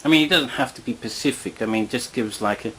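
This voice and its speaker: A man's voice: mid-range voice, quick pace, fairly bright tone, slight background noise, rough recording. A man hosting an interview, steering the talk with prompting questions. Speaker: host.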